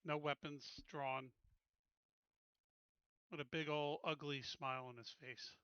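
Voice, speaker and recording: A man's voice: a little high, fairly even intonation, slow pace, fairly bright tone, clean tone, dry recording, muffled recording. A man taking part in a tabletop roleplaying game as a player, chatting casually about his character's moves.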